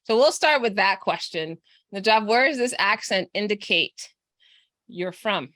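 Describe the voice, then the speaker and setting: A woman's voice: mid-range voice, animated, a little slow, no echo. Laughing, encouraging woman podcast host. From Texas, USA. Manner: warm, laughs often, encouraging. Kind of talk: casual interview chat.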